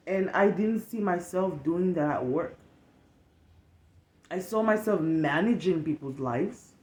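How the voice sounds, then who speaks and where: A woman's voice: medium pitch, darkish tone, echoey room, rough recording. A woman in her late twenties from Cameroon with a Cameroonian accent, hosting a candid solo podcast, frank and emphatic with repetition. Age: late 20s. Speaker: podcast host.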